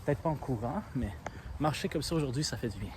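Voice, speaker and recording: A young man with a Quebec French accent, thinking aloud in a relaxed, plain way as he walks city streets, recording himself on his phone. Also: mid-range voice, fast, background noise. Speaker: seeker in hide-and-seek vlog. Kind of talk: casual self-filmed vlog narration.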